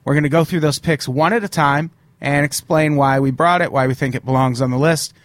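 A slightly hoarse man hosting a podcast, a touch higher-pitched than most men. He talks casually, swears freely and runs through the rules at a brisk clip. The sound is close and dry. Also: fairly even intonation, no room sound. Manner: swears often, explains rules quickly. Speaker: podcast host. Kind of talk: casual chat, live-streamed podcast.

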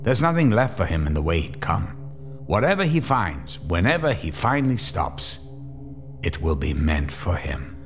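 A man's voice: low-pitched, very animated, normal speed, music in the background. A man narrating an old-time radio drama in a dramatic, suspenseful storytelling manner.

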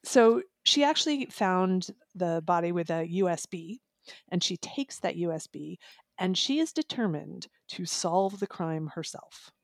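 Creaky lowish-voiced woman chatting. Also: lively intonation, unhurried, dry recording, clean studio sound. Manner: chatty, informal. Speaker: book reviewer guest. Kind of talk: casual podcast discussion.

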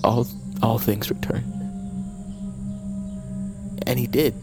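Fierce young warrior, music behind. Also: male voice, medium pitch, steady tone, slow pace, gravelly. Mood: grieving, bitter. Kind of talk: dramatic audio fiction acting.

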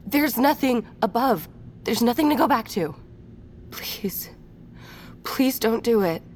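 A woman's voice: on the high side, expressive, slow, a little hiss or hum. A woman acting in an audio drama as an anxious, cautious character, with a serious, pleading manner.

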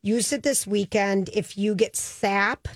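A woman's voice: mid-range voice, steady tone, dry recording. A woman radio host, chatting eagerly and reading a live ad on a studio microphone. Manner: chatty, enthusiastic, quick asides. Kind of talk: casual radio chat and live ad reads.

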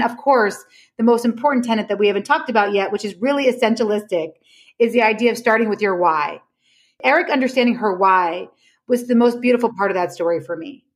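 Higher-voiced woman telling stories to explain. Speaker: podcast guest explaining her relationship system. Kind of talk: conversational explanation with storytelling.

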